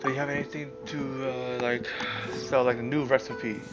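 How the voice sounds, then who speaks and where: A man gives casual game commentary on a livestream. He rambles and halts as he thinks aloud, and loud game music runs behind him. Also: medium pitch, little pitch movement, moderate pace, dull audio.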